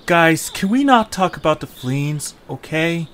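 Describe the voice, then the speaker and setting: A man's voice: on the high side, expressive, measured pace, a bit bright, voice pushed up. A man playing an earnest, exasperated young leader in a fantasy audio adventure. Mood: exasperated, surprised.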